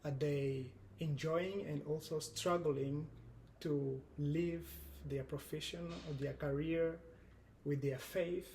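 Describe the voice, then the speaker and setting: A man in his thirties from Angola with a Portuguese accent, a guest speaking in an earnest, measured way, with frequent restarts. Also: a little high, fairly even intonation, darkish tone, slight background noise, rough recording. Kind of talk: casual conversation.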